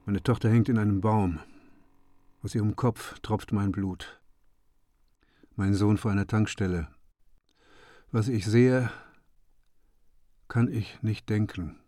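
An actor playing a bereaved, broken father in a radio drama, speaking in stark, measured short sentences. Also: fairly low pitch, fairly even intonation, warm tone, no room sound, clean studio sound. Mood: desolate. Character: grief-stricken bereaved father, broken, proud, brooding.

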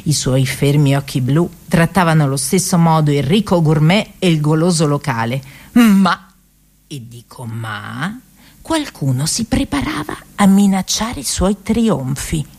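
A woman's voice: low-pitched, expressive, a little slow, darkish tone. A woman performing a tragicomic story for radio, narrating dramatically with emphatic delivery and relish for each word. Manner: dramatic, emphatic, savouring lists of words. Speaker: stage actress and narrator.

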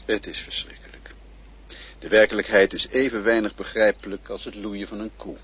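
An actor in a radio drama playing a mysterious male guide who questions the hero calmly, with quiet irony and a raspy voice. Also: on the low side, normal speed. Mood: probing. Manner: calm, questioning, quietly ironic. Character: mysterious probing male guide who shares the hero's name. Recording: dull lo-fi radio play recording.

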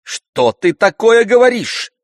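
A stern, protective mother in an audiobook, performed by a man. The voice is raised in pitch, emphatic and scolding, with curt indignation. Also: very animated, unhurried, a bit bright, no room sound, clean studio sound. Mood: indignant. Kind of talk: audiobook character voice.

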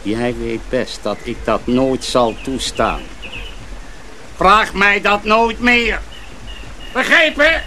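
Bossy sly miller boss, sharp hard voice, threatening, radio drama, slight background noise. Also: male voice, sits high, slow pace, long pauses, full character voice. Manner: probing, authoritative, threatening. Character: domineering, sly master miller, menacing man.

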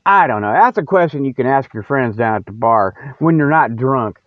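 A man's voice: mid-range voice, lively intonation, normal speed. Guy on a thin tinny radio sound, dry no echo, rambling and joking in a down-home folksy way. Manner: folksy, colloquial, teasing, chuckling. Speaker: host of his own radio show.

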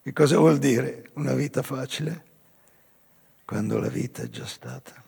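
An older male actor playing a weary, melancholy old man confessing a past crime in a halting, raspy theatrical monologue. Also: mid-range voice, animated, darkish tone, exaggerated voice. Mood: wry reminiscence. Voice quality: raspy, husky, breathy. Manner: halting, slow, dramatic pauses. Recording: live music behind the voice.

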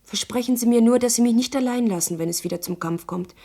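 A woman's voice: a little high, fast, dark tone. A woman playing a frightened, pleading young woman in a radio play. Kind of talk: German radio play acting.

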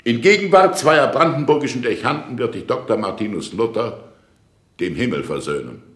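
A man's voice: medium pitch, lively intonation, normal speed, a bit bright, slightly rough. A man in a radio play acting a solemn, magnanimous prince who pronounces judgment with stately, ceremonious weight. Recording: long reverberant hall.

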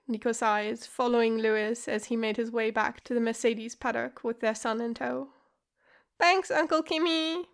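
A woman reading a story aloud for a podfic in a soft, even storytelling manner. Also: a little high, gravelly. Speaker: podfic reader.

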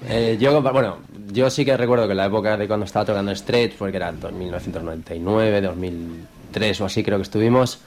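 A man with a low voice and a Spanish accent talks quickly as an interview guest in a radio studio. His chat is casual and colloquial, full of slang and repeated phrases. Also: darkish tone, slight background noise. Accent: Spain. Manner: colloquial, repetitive filler-heavy slang. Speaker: band vocalist and lyricist being interviewed.

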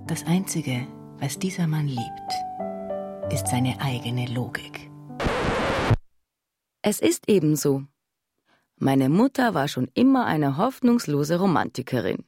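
A woman's voice: low-pitched, animated, slow, pauses often, exaggerated voice. An actress playing a cool, wry woman narrating family memories in a radio drama with dry irony. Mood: reflective, dry. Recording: loud music behind the voice.

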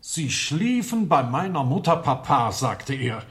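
A man's voice: medium pitch, very animated, measured pace, fairly bright tone. An actor playing a wry, witty clergyman with precise delivery in a comic radio play.

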